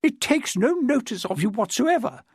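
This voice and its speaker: A man puts on a haughty, fussy older lady from a storybook, her voice climbing high and swooping with indignation. The recording is close and dry. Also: expressive, normal speed, vocal fry, no room sound, exaggerated voice. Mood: indignant.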